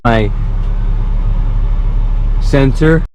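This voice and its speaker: Hoarse male car vlogger, casual and upbeat, recorded outdoors on camera with steady background noise. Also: mid-range voice. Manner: chatty, encouraging, halting phrasing. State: raspy from feeling unwell. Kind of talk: casual talk while working on a car.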